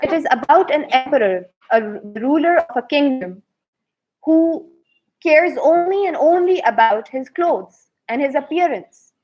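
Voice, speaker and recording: Slow, high-voiced woman storyteller. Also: animated, highs cut off. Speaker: children's storyteller. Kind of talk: read-aloud fairy tale narration.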